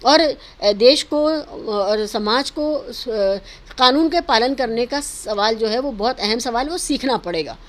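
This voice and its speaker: High-pitched woman, emphatic commentator. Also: animated, a little slow. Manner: emphatic, argumentative, mixes in English words and phrases. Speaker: interviewee giving social and political commentary. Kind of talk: earnest opinion interview, spontaneous speech.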